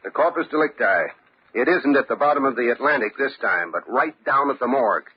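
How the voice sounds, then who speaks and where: An actor playing a tough, shrewd homicide lieutenant in an old-time radio crime drama, with a brisk, assured manner. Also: male voice, mid-range voice, lively intonation, breathy edge. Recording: dull, lo-fi old radio recording, faint noise.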